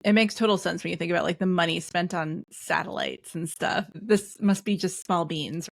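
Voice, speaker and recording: An American woman hosts an interview in casual, conversational language. Her voice sits fairly low and carries a lot of vocal fry. The sound is close and dry, with no room echo. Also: steady tone, normal speed. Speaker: podcast host, interviewer.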